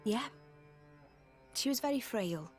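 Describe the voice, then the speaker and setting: A woman's voice: a little high, expressive, takes it slowly, a little mellow, soft background music. A woman voicing a feisty, blunt young former noblewoman in a video game, with a cheeky, informal way of talking. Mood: wistful, sad.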